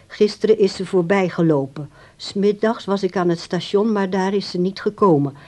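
An actress playing an insistent, coaxing grown daughter in a radio drama. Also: female voice, mid-range voice, mellow, lightly acted. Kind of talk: radio drama dialogue and diary reading.

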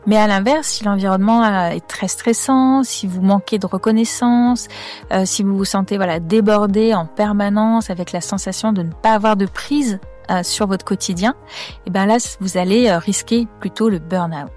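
A woman's voice: mid-range voice, normal speed, a little mellow, faint music behind. A woman in a played clip explaining a work topic plainly, with a few fillers. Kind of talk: explanatory talk.

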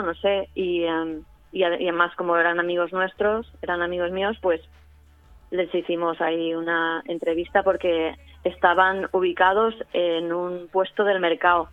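A woman talks in an interview with a crisp voice that stays fairly flat in pitch, chatty and quick to laugh. The sound is thin and phone-like, and the room is dry. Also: no echo. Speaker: radio documentary producer. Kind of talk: interview talk. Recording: phone-like line.

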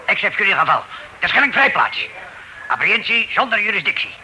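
An actor playing a stubborn island bailiff who speaks in clipped, telegram-like fragments with hesitant grunts. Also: male voice, high-pitched, big pitch swings, a little fast, bright, forceful tone, exaggerated voice. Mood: stubborn, indignant. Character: stubborn, curt male island bailiff guarding local privileges. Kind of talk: radio play acting. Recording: noisy old radio drama recording.

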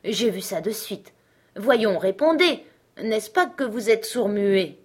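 A woman's voice: medium pitch, lively intonation, takes it slowly. A woman voicing a blunt, disdainful manservant in a storybook reading. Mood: brusque.

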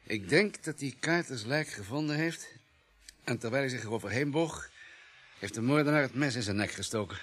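A man's voice: medium pitch, normal speed, a bit bright, breathy edge. A man acting in a radio drama as a matter-of-fact police pathologist with a precise, analytical manner. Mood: clinical, assured. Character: matter-of-fact male police pathologist, coolly reconstructing murders.

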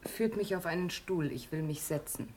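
An actress playing a mature, grief-stricken noblewoman with a weak, faltering, breathy voice in a German radio drama. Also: fairly low pitch, normal speed, background noise, close to an everyday voice. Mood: shocked, faltering.

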